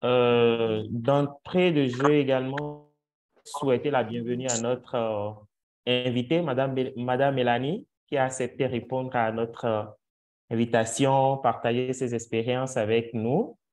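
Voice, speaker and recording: Guy hosting a video call class, formal but halting, lots of uh. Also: mid-range voice, fairly even intonation, darkish tone. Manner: hesitant, formal, many fillers and restarts. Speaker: host, moderator. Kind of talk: formal welcome speech.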